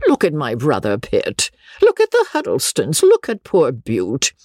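A reader voicing a shrill, imperious elderly spinster who is wealthy and witty, in a storybook reading. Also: huge pitch swings, a little slow, a little mellow, dry recording, studio quality, exaggerated voice. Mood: fond, teasing. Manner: commanding, teasing.

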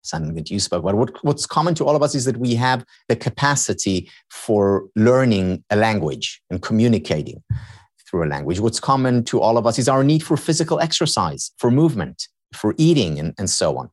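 A man's voice: fairly low pitch, sing-song, brisk. A man whose first language is Hebrew, a guest on a podcast who explains his ideas in a lecturing way and builds each point through repetition. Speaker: podcast guest, happiness researcher and teacher. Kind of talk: explanatory interview talk.

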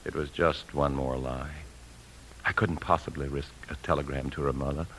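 An actor playing an anxious, evasive husband on the run in a 1950s radio drama, smooth-talking and guarded, who also narrates confessionally. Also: male voice, very deep voice, steady tone, moderate pace, a bit bright, slight background noise, rough recording. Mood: confessional narration. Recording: 1950s radio broadcast, faint music.

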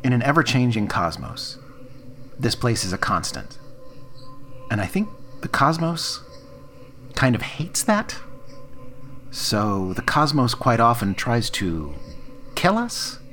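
A man's voice: medium pitch, sing-song, takes it slowly, pauses often, a bit bright, soft background music. An actor playing an earnest, exasperated man who runs a time-traveling diner in audio fiction, explaining things in a wry, hesitant way.

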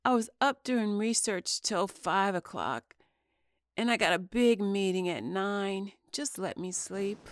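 A woman's voice: medium pitch, slow pace, no echo, natural voice. A woman playing an ambitious, persistent junior TV producer in a naturalistic audio drama. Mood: exasperated.

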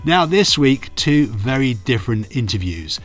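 A middle-aged man with a croaky, hoarse voice, a radio reporter narrating his memories in a friendly, chatty way. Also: medium pitch, big pitch swings, measured pace, soft background music. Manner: friendly, chatty, self-deprecating. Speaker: radio reporter and podcast host. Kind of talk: reflective storytelling narration.

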